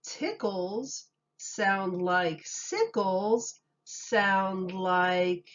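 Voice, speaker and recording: A woman voicing a sensible, confident little boy in a storybook, deliberately sounding out rhymes. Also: medium pitch, lively intonation, a bit bright, dull audio.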